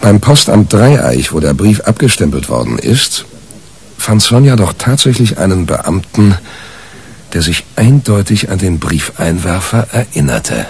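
A male journalist narrating an eighties radio crime drama. His voice sits fairly low. He recounts the case colloquially, with a wry, storyteller's touch. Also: very animated, unhurried, husky, heavily acted. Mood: wry, storytelling.

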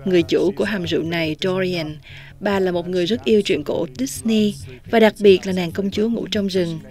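A young woman hosting an outdoor interview, holding a handheld mic and translating her guest's words with lively delivery. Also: lowish voice, quick pace. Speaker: host. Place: outdoor patio at a winery.

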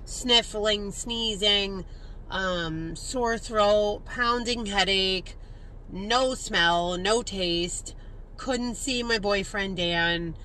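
A woman's voice: medium pitch, extremely slow, a little hiss or hum, low-quality recording. Congested woman vlogging from her car. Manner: chatty and expressive, talks into a handheld mic. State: congested, sniffling. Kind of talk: casual life-update vlog. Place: parked car, daytime. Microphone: handheld karaoke microphone.

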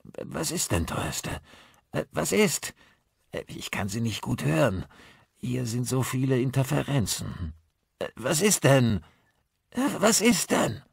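A man voicing an aging, eccentric rocker turned spirit medium in an audiobook, speaking in a halting, urgent, intense way. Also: mid-range voice, very animated, gravelly, breathy edge, no echo, studio quality, voice pushed up, heavily acted. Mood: confused, straining.

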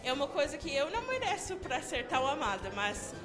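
A young American woman, a native English speaker, answering interview questions with a friendly laugh and halting learner's phrasing, speaking into a handheld mic on an indoor studio set. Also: high voice, sing-song, music in the background. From United States. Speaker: guest youtuber. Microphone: handheld mic held by interviewer.

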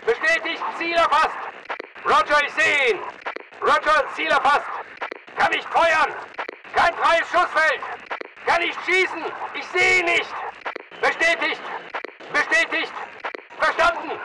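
Gravelly, commanding mercenary soldier. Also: male voice, extremely high pitch, steady tone, takes it slowly, long pauses, recorded from a distance, noisy background, full character voice. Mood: barking, urgent. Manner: clipped, commanding. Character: armed mercenary soldier, tough, aggressive and disciplined. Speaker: video game soldier. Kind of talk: military battle chatter, short barked commands.

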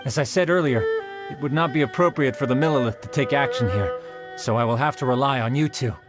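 An actor playing an earnest, dutiful young soldier in a fantasy video game, speaking formally and courteously in measured phrases. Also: male voice, medium pitch, loud music behind the voice, natural voice. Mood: formal, reassuring. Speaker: game character giving quest briefings. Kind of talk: scripted video game dialogue.

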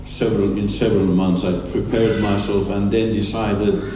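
A middle-aged man whose first language is Turkish, giving an earnest, halting testimony talk in a large church hall with a handheld mic. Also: lowish voice, flat delivery. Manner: earnest, halting, with many restarts. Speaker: guest speaker. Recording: echoey hall, distant mic, faint hiss.

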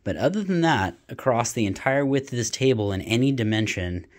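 A man's voice: medium pitch, lively intonation, fairly quick. A man in a small home workshop, casually thinking aloud as he demonstrates a hands-on test, with plenty of fillers. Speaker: hobbyist welder demonstrating a test. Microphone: camera worn on the body, point-of-view framing.

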